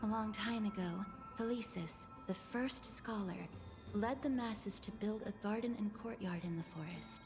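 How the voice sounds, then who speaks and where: An earnest young woman from an anime game, played by an actress, with a mid-range voice. She speaks formally and carefully like a thoughtful guide, over clearly audible game music. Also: medium pitch, moderate pace, close to an everyday voice. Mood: explaining. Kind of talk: anime game voice acting, expository dialogue.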